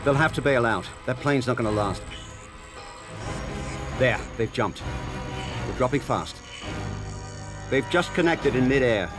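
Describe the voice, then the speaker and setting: A man as an efficient intelligence aide in a game cutscene. He has a mid-pitched voice and gives alert, factual reports with music playing behind him. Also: lively intonation, measured pace, long pauses, natural voice. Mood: tense. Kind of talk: video game cutscene acting.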